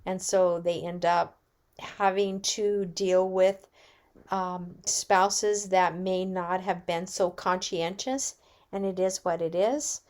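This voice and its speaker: A woman's voice: fairly low pitch, steady tone, slow pace, a little mellow. A woman giving an informal personal talk as a guest speaker, candid in her manner. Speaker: guest speaker, wellness business owner.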